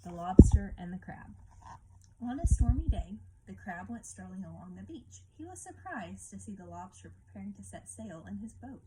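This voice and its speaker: A woman hosting a devotional read-aloud for children, talking casually and explaining gently like a teacher. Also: medium pitch, lively intonation, moderate pace. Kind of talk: casual devotional talk and story reading. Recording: clear background noise with clicks.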